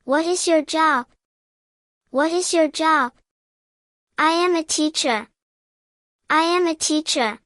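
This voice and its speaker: A woman narrating a scripted beginner language drill in careful, measured, simple phrases, repeating each sentence. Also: high voice, fairly bright tone, no room sound, studio quality. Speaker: English teacher narrator.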